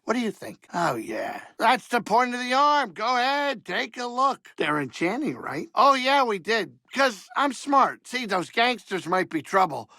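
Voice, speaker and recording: An actor playing a cranky but soft-hearted older alien saloon keeper in a video game, gravelly, wisecracking and folksy. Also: male voice, very high voice, a little slow, few pauses, dry recording, clean studio sound, full character voice. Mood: cheerful, boastful. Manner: grumbling, wisecracking, excitable, folksy. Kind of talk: video game character dialogue.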